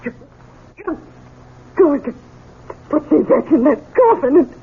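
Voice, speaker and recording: A woman playing a terrified elderly mother in a 1970s radio drama, husky, breathy and pleading. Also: high-pitched, big pitch swings, slow, background noise, full character voice. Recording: tinny 1970s radio recording.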